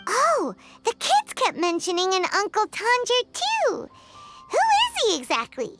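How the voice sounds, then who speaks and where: A woman voicing a tiny childlike fairy companion in an anime video game, chirpy and eager, with a squeaky voice. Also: wildly swooping pitch, unhurried, music in the background, exaggerated voice. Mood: curious, eager. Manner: exclamatory, refers to self in third person.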